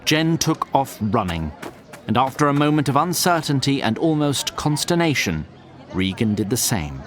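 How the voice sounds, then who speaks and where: Animated male storyteller over loud music. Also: medium pitch, moderate pace. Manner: storyteller tone with wry asides. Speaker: narrator. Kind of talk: scripted fantasy audio drama narration.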